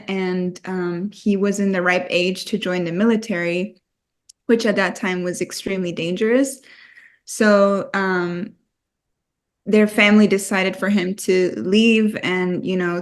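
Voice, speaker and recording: A young adult woman raised in Switzerland, talking through her family story as a podcast guest, unhurried with frequent pauses and ums. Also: mid-range voice. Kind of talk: conversational interview storytelling.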